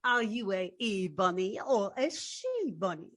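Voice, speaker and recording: A woman's voice: medium pitch, sing-song, measured pace, no room sound, clean studio sound. Woman acting lilting, flirtatious foreign showgirl. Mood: coy, playful. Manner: coquettish, formal phrasing. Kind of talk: recreated 1940s radio drama acting.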